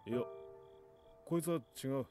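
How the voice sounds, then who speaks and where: Brash rapid-fire anime man played by an actor. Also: mid-range voice, lightly acted. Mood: blunt, searching. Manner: blunt, rough casual speech. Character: brash, gruff-talking anime man, sure of himself. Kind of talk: Japanese anime voice acting. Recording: anime audio with music behind.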